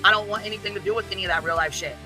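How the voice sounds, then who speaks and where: A man's voice: sits high, racing, sharp tone, soft background music. A man arguing in an informal, heated way, blunt and emphatic, with constant swearing.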